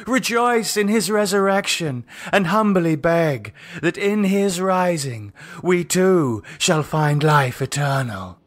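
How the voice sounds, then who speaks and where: Man voicing a high, ceremonial female cult leader. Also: animated, slow, few pauses, faint music behind. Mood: solemn, exultant. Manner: ceremonial, declamatory, exultant. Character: commanding female vampire cult leader, fervent high priestess.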